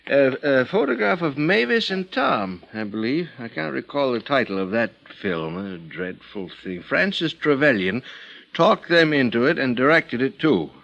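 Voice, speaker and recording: In a dull, lo-fi vintage radio drama recording, an old ex-film director turned mission brother. He hesitates, stammers and fumbles for his words. His gravelly voice swings widely up and down. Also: medium pitch, sing-song, normal speed, a bit bright. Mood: hesitant. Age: older. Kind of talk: 1950s radio drama acting.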